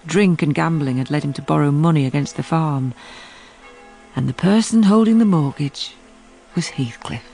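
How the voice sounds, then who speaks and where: An actress playing a sensible, compassionate housekeeper who narrates a radio drama in a low, grave, measured voice. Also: female voice, gravelly, dark tone, faint music behind.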